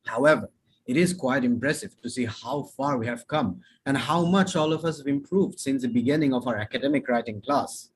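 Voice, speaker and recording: A young man delivers an earnest, formal welcome speech on behalf of his fellow students. His voice has a husky, slightly breathy edge at an ordinary pitch. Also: a little fast, poor audio. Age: young adult. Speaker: student speaker.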